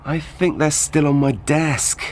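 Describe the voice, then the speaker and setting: A man's voice: fairly high pitch, fairly even intonation, a little slow, darkish tone, a little hiss or hum, lightly acted. A man with a British accent, playing a young writer in a scripted language-course dialogue, easygoing and apologetic. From Britain. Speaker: magazine writer character.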